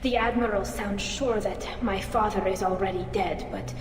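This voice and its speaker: A woman's voice: mid-range voice, steady tone, normal speed, slight background noise, natural voice. A woman playing an earnest, emotional young alien engineer on trial in a video game cutscene. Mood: worried, earnest. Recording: echoey hall, music underneath.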